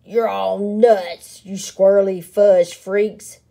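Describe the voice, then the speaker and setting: A woman voicing a mean, bossy male prairie dog bully with a booming, growling voice in a picture-book reading. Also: fairly even intonation, takes it slowly, creaky, mellow. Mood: furious, yelling. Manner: snarling, scornful, commanding.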